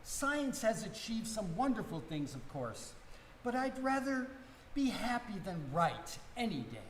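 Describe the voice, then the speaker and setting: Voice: mid-range voice, very animated, a little slow. Echoey, wistful old planet designer, acted on stage. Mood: wistful, grumbling. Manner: rambling, resigned. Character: weary old-fashioned elderly male planet designer, wistful. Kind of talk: live radio-play acting on stage. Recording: echoey hall live stream, noisy.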